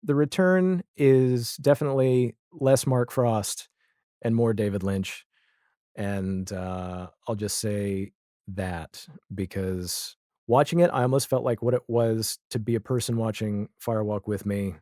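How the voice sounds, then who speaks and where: A man's voice: on the low side, steady tone, measured pace, dark tone, no echo, studio quality. A man talking casually as a podcast guest, retelling things in an opinionated way with emphatic asides and mimicked quotes. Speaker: podcast guest, audio drama creator.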